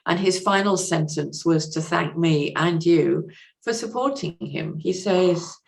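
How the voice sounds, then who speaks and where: A woman's voice: lowish voice, unhurried, creaky. A woman speaking as a podcast guest and translator, in a thoughtful, hesitant conversational way with explanatory asides. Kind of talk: conversational interview, reading out a message.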